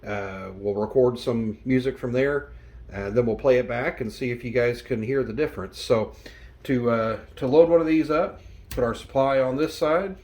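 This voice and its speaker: A man's voice: mid-range voice, a little mellow. A man hosting a review channel, explaining things in a casual, conversational product walkthrough. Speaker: host of a vintage electronics review channel.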